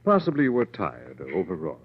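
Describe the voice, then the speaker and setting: An actor playing a composed, skeptical gentleman investigator in an old-time radio drama, speaking in a measured, urbane way. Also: low voice, huge pitch swings, breathy edge, exaggerated voice. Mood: reassuring. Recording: muffled old radio recording.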